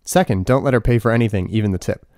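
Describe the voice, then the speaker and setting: A man's voice: on the low side, rapid-fire, a little mellow, no room sound. A man in his twenties reading his own humorous book as scripted narration, with wry, dry comic asides. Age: 20s. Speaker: author reading own audiobook.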